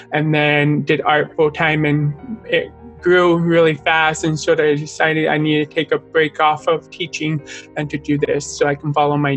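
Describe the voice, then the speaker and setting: A young man with a deaf speaker's accent talks about himself in an earnest, open way, his voice pitched somewhat high for a man. He halts over a word now and then. Faint music runs underneath. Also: a bit bright, low-quality recording. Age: young adult. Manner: earnest, open, at times halting speech. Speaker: interview guest, artist. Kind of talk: casual interview conversation.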